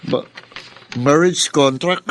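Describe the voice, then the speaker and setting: A man's voice: on the high side, takes it slowly, slight background noise. A man acting a hot-headed, scornful son in a Filipino radio drama, with an emotional, theatrical delivery. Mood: mocking.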